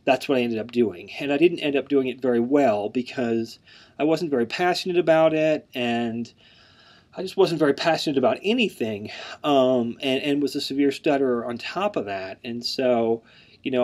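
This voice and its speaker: A man in his thirties gives an earnest, plain introduction to an online class. His mild stutter shows in repeated words, and he fills his pauses with "um". His voice sits at an ordinary, middle pitch. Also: lively intonation, a little mellow. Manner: mild stutter with word repetitions; frequent "um" fillers, earnest and plain. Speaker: instructor. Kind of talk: online course introduction lecture.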